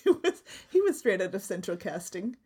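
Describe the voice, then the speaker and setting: A woman of middle pitch co-hosting a chat podcast. She tells stories in a loose, chatty way and breaks into laughter often. The tone is casual and conversational. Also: sing-song, normal speed, warm tone, husky. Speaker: podcast co-host.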